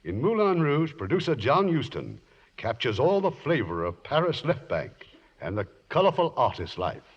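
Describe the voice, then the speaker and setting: A man's voice: medium pitch, huge pitch swings, moderate pace, breathy edge. An American man giving dramatic, scripted narration as the host of a 1950s radio theater broadcast. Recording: dull vintage radio broadcast. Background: music.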